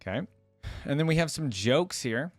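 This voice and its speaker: A man's voice: on the high side, lively intonation, moderate pace, no room sound, faint music behind. A man hosting a reaction video, giving casual off-the-cuff commentary as he goes.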